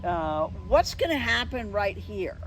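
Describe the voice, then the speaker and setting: A woman hosting an unscripted interview, chatting in a halting, conversational way and often restarting her phrases. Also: medium pitch, sing-song. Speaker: interviewer and host. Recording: clear traffic and street noise behind.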